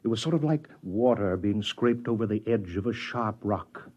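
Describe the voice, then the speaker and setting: A man's voice: fairly low pitch, lively intonation, moderate pace, darkish tone. An actor playing a genial, sly alien posing as a courtly, talkative old man in a 1950s radio drama. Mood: smooth, confiding. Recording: dull old-time radio recording.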